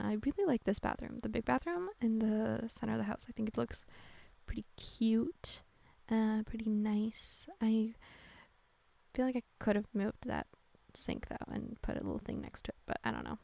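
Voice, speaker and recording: A young woman chats casually, rambling and correcting herself with plenty of fillers. Her fairly high voice carries a gravelly vocal fry. She is recorded close up on a dry microphone, with no room sound. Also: lively intonation, moderate pace, darkish tone, no echo. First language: Norwegian. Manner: rambling, chatty, self-correcting with many fillers. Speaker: game builder narrating a speedbuild. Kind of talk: casual voice-over chat.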